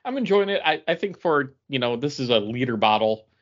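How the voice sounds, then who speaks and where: A man's voice: mid-range voice, very animated, fairly quick. Casual man chatting, slightly dull audio. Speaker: podcast co-host. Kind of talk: casual chat.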